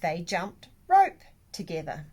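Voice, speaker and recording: A woman reading a children's picture book aloud like a teacher, with deliberate, expressive emphasis. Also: lowish voice. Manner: animated, deliberate, with dramatic emphasis.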